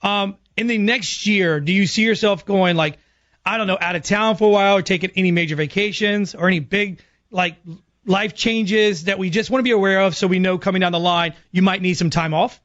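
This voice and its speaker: A man's voice: sits high, steady tone, fairly quick, fairly bright tone. A man hosting a radio show, talking in a casual, chatty style. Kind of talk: casual radio banter and prank calling. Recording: slightly muffled lo-fi audio.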